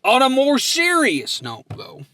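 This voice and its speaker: High-pitched, animated man bantering. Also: a little slow. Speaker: comedy podcast host. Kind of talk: casual comedic banter.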